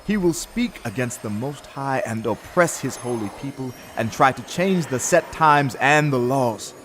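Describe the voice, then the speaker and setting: Grave heavenly interpreter, bible audio drama, medium pitch, bit of background noise. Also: male voice, lively intonation, a little slow, fairly bright tone. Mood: solemn, declarative. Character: solemn heavenly interpreter explaining a prophetic vision. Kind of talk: dramatized scripture reading.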